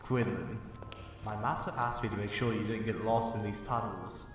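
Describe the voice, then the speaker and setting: A man's voice: fairly low pitch, steady tone, moderate pace, close to an everyday voice. A man playing a polite, dutiful young Jedi apprentice who speaks formally in a comic dub. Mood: gently concerned. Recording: echoey, distant, music underneath.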